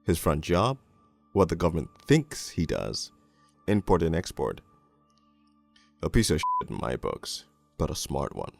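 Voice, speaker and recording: Cynical detective narrator for a crime storybook, real gravelly, swings up and down a lot, crude and world weary. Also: male voice, extremely low pitch, big pitch swings, faint music behind, heavily acted. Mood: cynical, brooding. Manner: world-weary, deliberate, sardonic. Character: jaded, crude, cynical male police detective. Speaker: narrator and lead voice actor in an animated storybook. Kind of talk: first-person hard-boiled crime narration.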